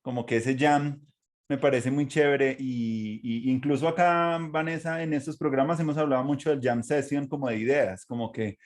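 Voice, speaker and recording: Colombian guy hosting a chat, mid pitched voice, rambles from one idea to the next. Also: steady tone, normal speed. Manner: rambling, loosely linked trains of thought. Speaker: interview host. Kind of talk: casual conversational hosting.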